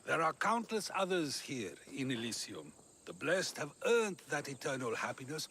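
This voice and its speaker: Wise old game philosopher, high lively pitch, bright tone, measured reflective phrasing, music behind. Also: male voice, slight background noise, exaggerated voice. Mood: solemn. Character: wise old male philosopher, calm and musing. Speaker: video game voice actor. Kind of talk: dramatic game cutscene dialogue.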